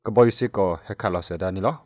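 A man's voice: fast, a little mellow, dry recording, studio quality. A man reading a scripted radio news report as a newsreader.

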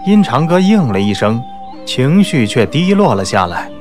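Male narrator, mid-pitched, measured literary storytelling, dramatic audiobook style, soft music behind. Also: sing-song. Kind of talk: dramatic scripted novel narration. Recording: soft background music under the voice.

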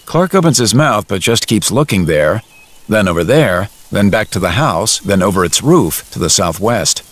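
A man acting as the narrator of an audio drama, telling it in a measured, descriptive, literary way. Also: fairly low pitch, lively intonation. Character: omniscient male storyteller narrating in present tense.

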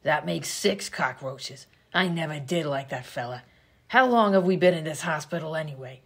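A woman playing a gruff, bossy 1920s vaudeville comedienne, slangy and sarcastic, in an old-time radio comedy sketch. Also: deep voice, moderate pace. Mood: sardonic. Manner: brash, slangy, sarcastic insults.

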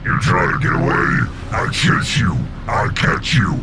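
A man voicing a menacing, sadistic demon in a comic drama, with a gravelly growl. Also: sits low, big pitch swings, measured pace, heavy background noise. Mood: sadistic, threatening. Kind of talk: scripted comic-book voice acting.

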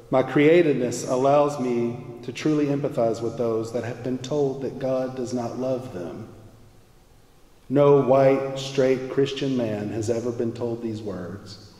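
A man's voice: medium pitch, warm tone. A man in his forties earnestly reading a prepared testimony from the pulpit of a large church. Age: 40s. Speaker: church music minister. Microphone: pulpit mic, far camera. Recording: echoey church from afar.

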